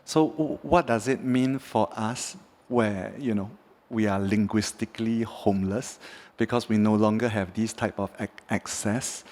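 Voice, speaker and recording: A man's voice: on the low side, vocal fry, a little mellow. A man from Singapore speaking on a panel, hesitant and reflective, with frequent stutters and restarts. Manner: hesitant, slow, stuttering delivery. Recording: reverberant hall.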